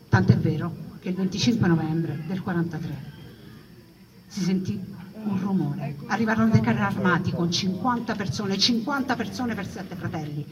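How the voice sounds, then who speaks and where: Distant, noisy recording of a woman storyteller. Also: medium pitch. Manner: dramatic delivery with repeated, building phrases. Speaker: stage storyteller performing a monologue. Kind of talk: theatrical narrative storytelling.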